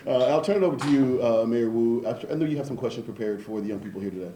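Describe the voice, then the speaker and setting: A middle-aged man, an executive director, moderating a panel in a relaxed, chuckling way. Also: mid-range voice, rapid-fire. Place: indoor community music center. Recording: echoey room, faint noise.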